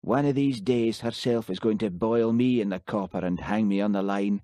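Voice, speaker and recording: A man's voice: lowish voice, normal speed, mellow, dry recording, clean studio sound, voice pushed up, lightly acted. A man voicing a gloomy, henpecked middle-aged man who grumbles about his wife's endless washing. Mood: gloomy grumbling.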